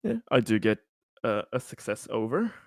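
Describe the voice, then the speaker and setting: A man with a slightly low voice talks as a player in a tabletop game. He explains his character in the third person, casually but deliberately and at some length. The sound is very dry, with no room around it. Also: big pitch swings, no room sound.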